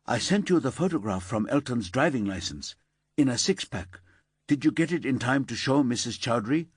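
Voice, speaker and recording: A man's voice: mid-range voice, lively intonation, moderate pace, breathy edge, no echo, clean studio sound, voice pushed up. A man doing a hasty young detective.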